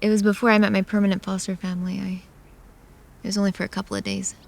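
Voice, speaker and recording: Woman as a guarded young heroine, creaky voice. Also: mid-range voice, little pitch movement, fast, darkish tone, lightly acted. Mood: subdued. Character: guarded, wry young woman who sees monsters. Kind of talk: audio drama acting with first-person narration.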